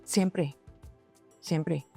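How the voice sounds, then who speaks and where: A woman's voice: low-pitched, steady tone, extremely slow, gravelly, warm tone, slightly rough, no room sound, soft background music. A woman, speaking casually and reflectively as an interview guest. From Guatemalan, grew up in Texas, United States. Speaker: interview guest, singer-songwriter and doctor.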